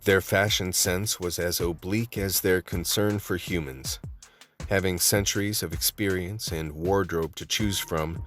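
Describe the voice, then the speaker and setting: Hard-boiled cop narrator played by a man: low, weary, measured, radio play with faint music underneath. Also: steady tone, fairly bright tone. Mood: grim, measured. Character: world-weary male undercover vice cop telling his story.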